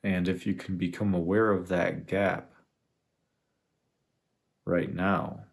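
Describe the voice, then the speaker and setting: A man leading a guided meditation, speaking in an unhurried, reflective way with long pauses between phrases. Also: deep voice, little pitch movement, vocal fry. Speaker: meditation guide, spiritual teacher. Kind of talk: guided meditation, contemplative teaching.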